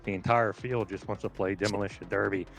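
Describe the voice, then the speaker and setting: A man speaking as an interviewed sim racer, in a casual, halting and lightly joking way. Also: on the low side, steady tone, gravelly, faint music behind. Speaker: sim racing driver being interviewed. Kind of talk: casual chat.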